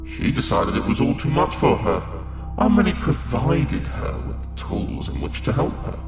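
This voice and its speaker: A man's voice: medium pitch, very animated, normal speed. A man playing a sadistic otherworldly villain who feeds on mortals in an audio drama, mock-polite and taunting as he draws out his words. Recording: echoing voice under loud music.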